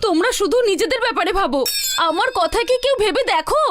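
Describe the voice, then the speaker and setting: Exasperated, very high-voiced cartoon mom. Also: female voice, animated, fast talker. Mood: exasperated scolding. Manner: scolding, dramatic. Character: exasperated, fed-up mother of two boys. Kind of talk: cartoon dub acting. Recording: loud noise and clicks behind.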